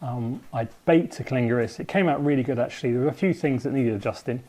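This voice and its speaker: A man's voice: mid-range voice, fast talker, warm tone. A man, a home baker explaining his recipe to camera in a loose, thinking-aloud way with plenty of ums.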